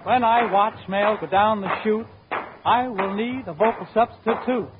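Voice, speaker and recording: High-pitched man on very noisy old radio. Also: a little slow, narrow, tinny audio. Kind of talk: 1940s radio comedy rhymed verse.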